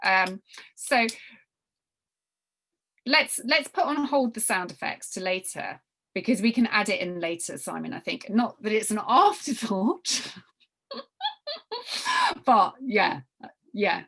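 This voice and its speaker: A woman giving an informal briefing to a group as a workshop leader, halting and often repeating phrases for emphasis. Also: mid-range voice, animated, gravelly, fairly bright tone, breathy edge. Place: online group video call.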